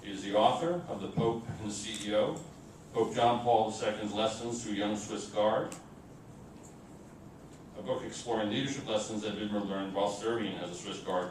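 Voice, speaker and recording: Echoey, low-voiced man reading a formal introduction. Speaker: event moderator introducing a speaker. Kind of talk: formal scripted introduction read aloud. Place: indoor event room. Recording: boomy echoing room, distant mic, noisy rumble.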